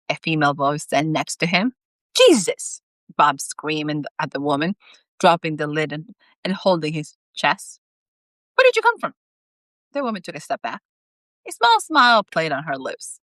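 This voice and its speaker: A woman's voice: lowish voice, dry recording. A woman reading her own story aloud in a live, informal storytime style with chatty asides. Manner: animated, informal.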